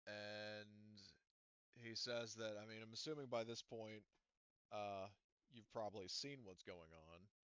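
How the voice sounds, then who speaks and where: Male game master, casual and rambling with fillers, smooth tone, lo-fi sound. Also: on the low side, fairly even intonation, a little slow, a bit bright, no room sound. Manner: rambling, full of fillers and restarts, casual swearing. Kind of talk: running a tabletop roleplaying game. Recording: dull lo-fi recording, dry.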